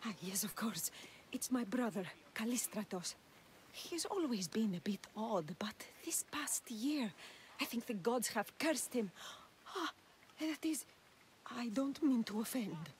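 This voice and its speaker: A timid village woman in a game cutscene, with a higher voice that is anxious and deferential. She speaks as a devout, effusive admirer, over clear ambient noise. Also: lively intonation, unhurried. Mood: worried, hesitant. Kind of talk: video game cutscene acting.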